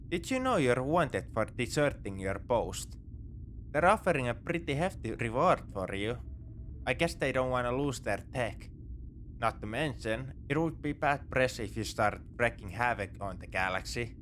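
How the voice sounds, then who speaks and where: A man's voice: mid-range voice, fairly bright tone, slight background noise. A man playing a wry, morally grey space pirate in a sci-fi ASMR roleplay, with a conversational, nonchalant, dryly teasing delivery. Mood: wry, matter-of-fact. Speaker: audio roleplay performer.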